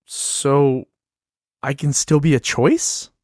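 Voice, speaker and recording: A man's voice: mid-range voice, fairly even intonation, slow, darkish tone, no room sound, clean studio sound, close to an everyday voice. A man playing a worried, unsure book puppet in a musical puppet show, anxious and questioning. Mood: doubtful, dejected.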